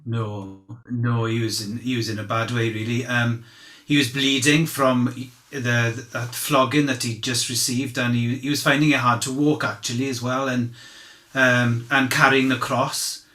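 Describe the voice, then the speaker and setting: A man playing a candid, humble fisherman giving halting, conversational eyewitness testimony in a courtroom drama. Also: medium pitch, little pitch movement, pushed and bright. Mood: sombre recollection. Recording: roomy, echoing sound.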